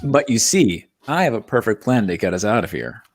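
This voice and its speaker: A man's voice: fairly low pitch, very animated, a little fast. A man playing a cocky, defensive washed-up smuggler in a comedic script read. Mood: defensive, cajoling. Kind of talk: comedic live table read of a film script.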